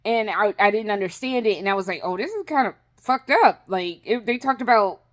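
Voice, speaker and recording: A woman's voice: medium pitch, animated, fairly quick. Rambling, profane woman vlogger. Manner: rambling, profane, laughs often, restarts. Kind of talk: casual rambling vlog chat.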